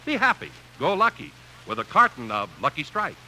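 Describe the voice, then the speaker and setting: A man's voice: fairly high pitch, big pitch swings, fairly quick. An American man announcing a 1950s radio commercial and credits with an emphatic, polished sales delivery. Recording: faintly noisy old radio broadcast.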